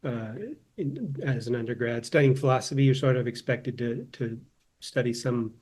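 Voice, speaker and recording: Guy in his 50s from socal, talks things through slowly, keeps restarting words, mid-pitched voice. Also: darkish tone, breathy edge. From Southern California. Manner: thoughtful, often repeats words while restarting. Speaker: guest, philosophy professor and translator. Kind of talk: casual interview conversation.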